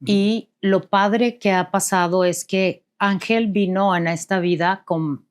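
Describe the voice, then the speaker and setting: A woman's voice: medium pitch, fairly even intonation, slow pace, smooth. A woman, a guest co-author, answering interview questions in an earnest and openly affectionate way.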